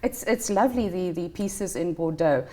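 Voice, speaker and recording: A woman's voice: lowish voice, moderate pace, a little mellow. A woman hosting a book interview show, asking questions and giving short encouraging backchannels. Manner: friendly, with brief encouraging backchannels. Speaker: host. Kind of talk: interview conversation.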